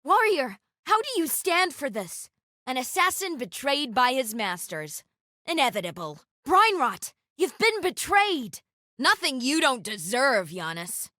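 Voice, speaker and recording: A fierce, bright-voiced female interrogator. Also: sits high, sing-song, a little slow, no room sound, studio quality, exaggerated voice. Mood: taunting. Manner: clipped, commanding, threatening. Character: stern vengeful female interrogator, commanding and coldly threatening. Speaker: game character voice. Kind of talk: video game voice lines.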